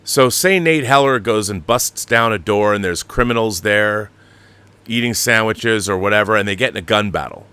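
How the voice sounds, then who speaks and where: A man hosting a casual livestream, explaining things in a rambling, animated, slangy way, like a director talking shop. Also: lowish voice, moderate pace, smooth, no room sound. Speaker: show host and audio drama director.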